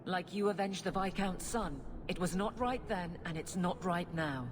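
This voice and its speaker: A woman's voice: lowish voice, moderate pace, lightly acted. A woman doing a stern guard captain. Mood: firm. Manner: firm, formal, no-nonsense. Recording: game audio with noisy ambience.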